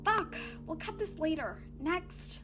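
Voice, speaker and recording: A woman's voice: very high voice, animated, slow, heavy background noise, full character voice. An actress playing a bossy, foul-mouthed woman who hosts a ghost-hunting show in a radio drama, with a dramatic delivery. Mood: irritated, snappish. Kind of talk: radio drama acting as paranormal show presenter.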